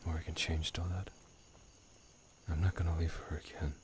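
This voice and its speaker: A very deep-voiced, weary father who once killed for a living, speaks in subdued game dialogue. His delivery is reflective and fairly level, with noticeable noise behind him. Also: level tone, moderate pace. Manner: subdued, reflective, at times near whispering. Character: weary retired killer, a gruff devoted father.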